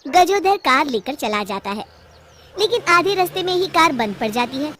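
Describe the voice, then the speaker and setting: A woman's voice: high-pitched, sing-song, moderate pace, fairly bright tone, a little hiss or hum. A woman narrating a scripted cartoon family story.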